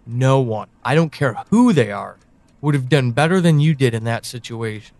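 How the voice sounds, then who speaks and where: An actor plays a brooding man who seems to know too much, in an intimate audio drama monologue. His voice sits a little high for a man and is recorded close and dry. He addresses one person directly, choosing each phrase with deliberate, halting pauses. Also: darkish tone, dry recording, lightly acted. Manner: deliberate, halting delivery with sighs and pointed pauses. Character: brooding, knowing man confiding in an amnesiac woman.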